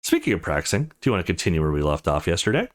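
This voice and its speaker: Man reading as a playful, animated young game heroine. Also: low voice, racing, voice pushed down. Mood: teasing.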